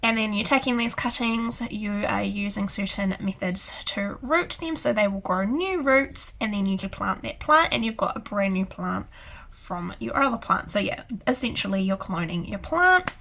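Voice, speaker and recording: A young woman with a hoarse, croaky voice from illness, chatting casually as she explains plant care. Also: medium pitch, expressive, normal speed, pushed and bright. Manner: chatty and rambling, many "so yeah" fillers. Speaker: houseplant channel host. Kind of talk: casual tutorial to camera.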